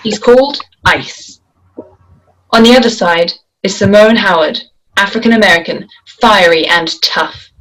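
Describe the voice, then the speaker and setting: A woman's voice: mid-range voice, unhurried, fairly bright tone, rough recording. A woman reading scripted stage directions as the narrator of a screenplay.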